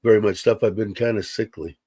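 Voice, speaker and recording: A man's voice: fairly low pitch, fairly quick, dark tone, breathy edge, no echo. A man hosting a casual livestream from his computer, chatty and rambling with many fillers, sounding slightly congested. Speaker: livestream host. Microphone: computer mic.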